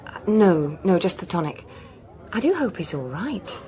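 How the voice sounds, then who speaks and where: An actress playing a clever young Englishwoman in political circles in a radio drama, with a quick-witted manner. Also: on the low side, big pitch swings, a little mellow. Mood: anxious. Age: young adult. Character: clever, bantering young Westminster woman.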